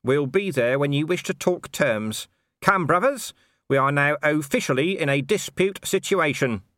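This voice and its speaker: A scruffy, cheeky corporal, voiced by a man reading an audiobook, talking up high with cocky, offhand self-satisfaction. He sounds pleased with himself and in no hurry. The recording is close and dry. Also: expressive, normal speed, fairly bright tone, no echo. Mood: smug. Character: scruffy, cheeky male corporal, smug union agitator. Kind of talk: audiobook character voice.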